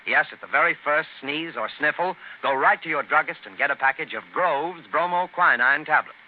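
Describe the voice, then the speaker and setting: A man's voice: fairly high pitch, normal speed. A man with an American accent announcing in scripted 1930s radio style, hosting and reading sponsor messages. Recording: tinny, noisy 1930s radio broadcast.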